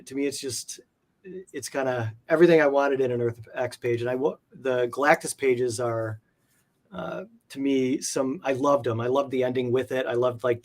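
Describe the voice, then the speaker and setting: Rambling, casual man, mid-pitched voice. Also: a little mellow. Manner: rambling, peppers talk with "like". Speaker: interview guest, comic art collector. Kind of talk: casual enthusiastic chat about comic art.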